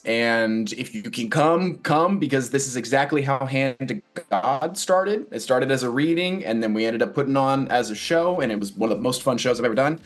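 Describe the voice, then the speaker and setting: A man, a stage actor being interviewed, chatting casually in a joking, rambling storyteller's way. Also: mid-range voice. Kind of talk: casual promotional interview chat.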